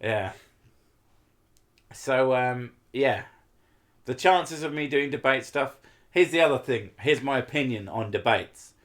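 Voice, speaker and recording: Male streamer, mid-pitched, rambling casual chat with swearing. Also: measured pace, long pauses, fairly bright tone. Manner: swears often, rambling with fillers.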